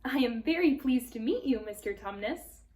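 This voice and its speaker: A woman's voice: on the high side, lively intonation, normal speed, darkish tone, lightly acted. A woman voicing an innocent young girl in a storybook, polite, earnest and a little puzzled. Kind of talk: storybook character read aloud.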